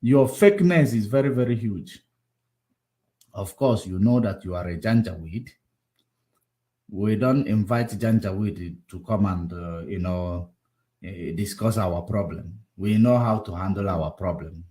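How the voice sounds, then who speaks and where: A man hosting a political livestream, combative and repetitive, swinging between loud outbursts and low muttering. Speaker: livestream host. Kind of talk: casual political commentary livestream.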